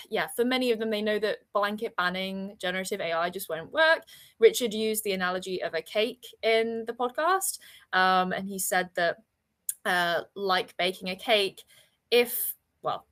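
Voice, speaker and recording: A woman's voice: mid-range voice, normal speed, fairly bright tone. A woman hosting a webinar over a video call, talking in a chatty, enthusiastic way and often laughing. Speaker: webinar host. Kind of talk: casual webinar chat.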